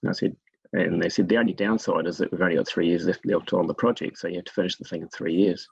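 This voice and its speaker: A man from New Zealand with a gravelly, husky voice, telling his story conversationally as an interview guest. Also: low voice, fairly even intonation, fast talker, lo-fi sound. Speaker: guest expert in forest monitoring.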